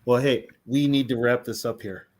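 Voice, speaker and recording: A man's voice: medium pitch, fairly even intonation, moderate pace. A man co-hosting a casual live stream, chatty, laughing easily and talking to the viewers in the chat. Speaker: co-host of a fan podcast.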